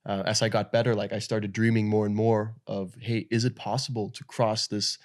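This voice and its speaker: A young man from Denmark, a guest in an interview, telling his story in a relaxed, loose way with lots of fillers. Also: on the low side, normal speed. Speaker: guest, pro paddle surfer. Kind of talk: casual interview talk.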